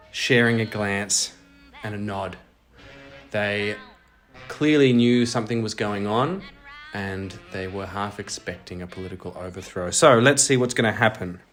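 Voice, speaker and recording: Low-voiced male storyteller over music. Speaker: host and narrator of a solo role-playing audio drama. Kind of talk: scripted narration mixed with casual play commentary.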